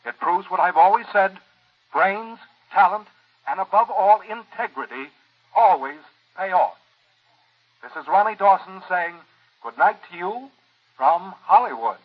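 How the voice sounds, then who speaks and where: An actor playing a gushing gossip columnist on the air, in a breathless broadcaster's delivery, in a 1940s radio drama. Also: male voice, high voice, expressive, measured pace, long pauses. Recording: muffled old radio, radio-within-radio.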